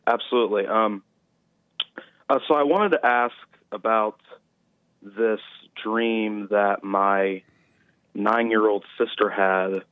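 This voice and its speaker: A man's voice: lowish voice, steady tone, takes it slowly, long pauses, dry recording. A young man calling in to a talk show by phone, speaking hesitantly with lots of fillers. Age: young adult. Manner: hesitant, many fillers and restarts. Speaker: caller. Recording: tinny phone line.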